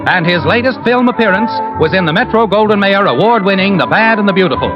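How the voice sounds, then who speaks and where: A man's voice: high voice, expressive, fast talker. A man with an American accent reading scripted announcements and ads in a polished, emphatic 1950s radio style. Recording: vintage radio broadcast, dull, music behind.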